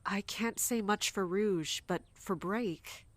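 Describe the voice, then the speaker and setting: A woman's voice: mid-range voice, slow pace, no echo. A woman playing a dutiful, guarded royal guard captain in an audio drama, speaking formally and deferentially.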